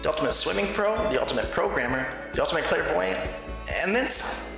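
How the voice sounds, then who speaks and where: A man playing an earnest, awed high school boy narrating a visual novel fan dub. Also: animated, quick pace, vocal fry. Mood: awed, uneasy. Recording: very echoey, long reverb, music behind.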